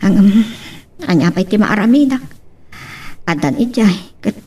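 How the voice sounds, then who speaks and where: A careworn, self-sacrificing mother in an Ilocano drama, acted by a woman. Her voice is mellow and breathy, recorded slightly away from the microphone. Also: mid-range voice, expressive, unhurried, distant mic. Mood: troubled. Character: burdened, self-sacrificing mother whose husband is missing. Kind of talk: Ilocano family radio-style drama acting.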